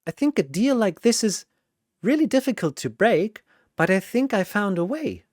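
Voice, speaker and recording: A narrator voicing a gentle, brave, sad little girl with a soft, steady manner in an audio story. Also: medium pitch, moderate pace, no room sound, studio quality, pitched above the performer's own voice. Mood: gentle resolve.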